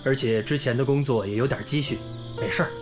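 An actor playing a devoted, earnest young village schoolteacher in a radio drama. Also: male voice, medium pitch, fast, close to an everyday voice. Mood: earnest, resolute. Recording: music behind the voice.

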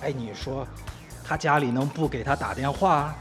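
A man playing an anxious, suspicious sidekick who talks in a hesitant, nagging, excitable way in a radio drama. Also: mid-range voice, normal speed, over music, lightly acted. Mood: uneasy.

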